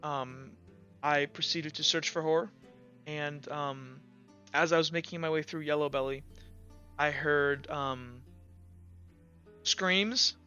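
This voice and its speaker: A man acts out a guard commander on the witness stand in a fantasy tabletop game. He speaks slowly and haltingly, stammering through painful testimony with reluctance. The voice is clean and bright, with music behind it. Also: a little high, steady tone, muffled recording. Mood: reluctant, shaken.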